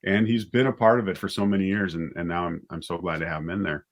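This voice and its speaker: Male, low-pitched voice, relaxed interview guest; a writer-director chatting through anecdotes. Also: fast talker. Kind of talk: casual interview chat.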